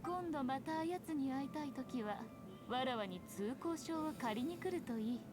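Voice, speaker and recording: A woman playing a haughty, playful ancient fox shrine maiden in an anime game, speaking in an archaic, knowing, measured way. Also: high-pitched, lively intonation. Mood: wry, knowing. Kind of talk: Japanese anime game dialogue acting. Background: game music.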